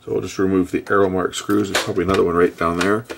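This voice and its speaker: A man with a low, creaky voice narrates a hands-on repair. He talks casually and thinks aloud, pausing as he works things out. There is faint noise behind him, with small clicks from handling parts. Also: level tone. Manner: thinking aloud, hesitant while working. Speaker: host repairing electronics.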